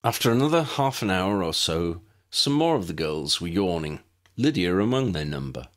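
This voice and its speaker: A man's voice: deep voice, big pitch swings, moderate pace. A man narrating a scripted audiobook story.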